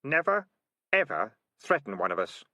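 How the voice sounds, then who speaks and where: A man's voice: mid-range voice, big pitch swings, normal speed, sharp tone, no room sound, voice pushed up. A man voicing an earnest young boy who speaks firmly. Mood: stern. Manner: firm, earnest.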